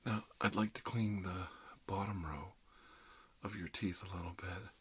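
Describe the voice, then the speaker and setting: A man playing a calm, reassuring dentist in an ASMR roleplay, speaking softly and often slipping into a whisper as he soothes a patient. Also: sits low, a little hiss or hum. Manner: soothing, unhurried, reassuring, talking a patient through each step. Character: calm, reassuring male emergency dentist treating a supernatural patient. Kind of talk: ASMR roleplay, soft-spoken with frequent whispering.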